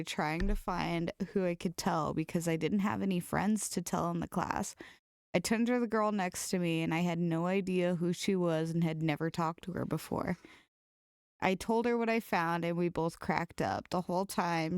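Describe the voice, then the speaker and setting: A woman's voice: lowish voice, smooth, no room sound, studio quality. A woman co-hosting a podcast, casually chatting and reading funny stories aloud. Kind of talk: casual comedic chat and story reading.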